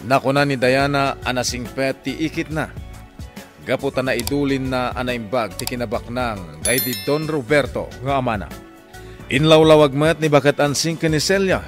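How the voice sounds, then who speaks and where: A man with a Filipino accent reading a scripted recap as narrator of a radio drama. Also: mid-range voice. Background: music.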